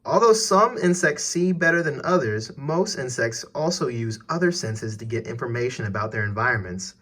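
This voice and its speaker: A man's voice: mid-range voice, animated, moderate pace, a bit bright. A man reading an educational text aloud for children as a teacher, putting questions directly to the listener.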